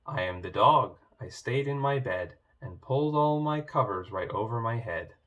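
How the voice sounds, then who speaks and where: A man's voice: medium pitch, expressive, moderate pace, a bit bright, highs cut off, close to an everyday voice. A man voicing a lazy, sheepish farmyard animal confessing in drawled storybook rhyme. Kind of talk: children's storybook read-aloud.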